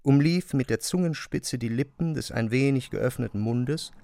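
A man narrating a literary audio drama, with measured, deliberate phrasing. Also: mid-range voice, vocal fry, mellow.

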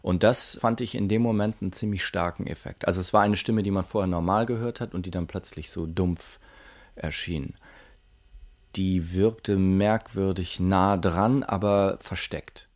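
Guy with a really deep voice, chatting through how he does radio drama sound. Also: fairly even intonation, normal speed. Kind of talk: conversational interview statement.

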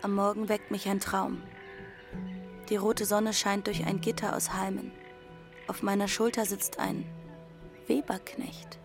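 A woman's voice: mid-range voice, little pitch movement, unhurried, vocal fry, pauses often, music in the background. A woman playing a defiant teenage runaway girl who narrates her journey matter-of-factly in an audio drama. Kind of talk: first-person literary narration in audio drama.

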